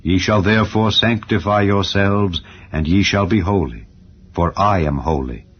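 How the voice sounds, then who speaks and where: Deep-voiced man solemnly reading scripture. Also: a little hiss or hum. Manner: solemn, measured recitation. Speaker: Bible reader. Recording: dull audio under soft music. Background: faint background music.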